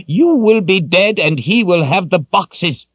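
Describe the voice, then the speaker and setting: A man's voice: high-pitched, animated, unhurried, a bit bright, slightly rough, dry recording, dull audio, pitched above the performer's own voice, full character voice. A man voicing a stern, grim old wizard mentor who speaks with urgent emphasis. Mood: urgent warning.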